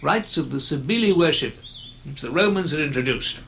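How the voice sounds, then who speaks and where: High-voiced, donnish antiquarian captain. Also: male voice, expressive, a little fast, creaky, fairly bright tone, slight background noise. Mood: scholarly, musing. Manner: hesitant, musing, donnish asides. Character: amiable, learned antiquarian captain, eager guide with uneasy dread. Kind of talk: old-time radio horror drama dialogue.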